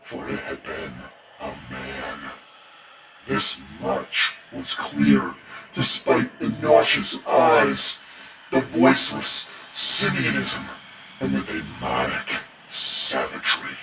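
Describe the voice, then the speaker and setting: A man reading a horror story in a theatrical, ominous way, with deliberate emphasis. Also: a bass voice, big pitch swings, a crowd in the background. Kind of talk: dramatic bedtime horror story narration. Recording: roomy echo, distant, music behind. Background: music bed.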